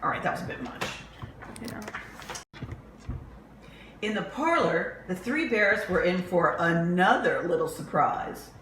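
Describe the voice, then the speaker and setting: Animated, unhurried woman reading a picture book. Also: fairly low pitch. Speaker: children's librarian reading stories. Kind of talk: expressive picture-book read-aloud for young children. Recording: roomy echo with faint background noise.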